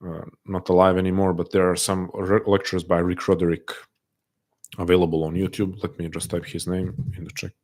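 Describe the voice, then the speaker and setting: Deep monotone guy w a non-native accent, soft and hesitant, chatting on a livestream. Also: a little fast. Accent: non-native English, often taken for Russian. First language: not English. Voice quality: soft-spoken, hesitant, many restarts. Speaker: livestream host answering chat. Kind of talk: casual live chat.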